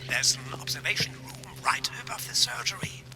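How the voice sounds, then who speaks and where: A man's voice: brisk, over music, lightly acted. An actor playing an eccentric, knowing man of science in a video game, theatrical and wry as he explains and guides. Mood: helpful, encouraging.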